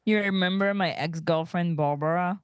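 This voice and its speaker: A woman playing a dejected young male starship ensign in an animated sci-fi comedy table read, plaintive and exasperated. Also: fairly low pitch, moderate pace, clear, resonant tone, no room sound, studio quality, natural voice.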